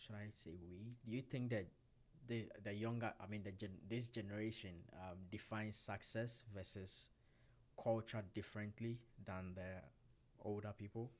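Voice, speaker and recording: A man's voice: fairly low pitch, darkish tone. A man co-hosting a casual podcast, telling personal stories candidly and asking questions. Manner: storytelling, candid, asks questions, laughs easily.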